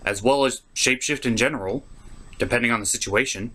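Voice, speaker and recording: A man's voice: medium pitch, brisk, pushed and bright, breathy edge. A man delivering scripted comedic review narration as a theatrical, opinionated show host.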